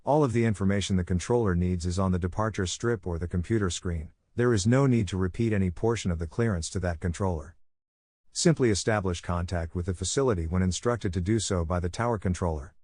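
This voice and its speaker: A man reading a technical handbook aloud as scripted audiobook narration, in a measured, instructional manner. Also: sits low, darkish tone, no room sound, clean studio sound.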